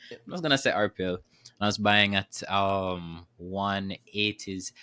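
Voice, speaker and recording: A man in casual investing chat on a podcast, animated, laughing often and hedging with "you know". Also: medium pitch. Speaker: podcast co-host.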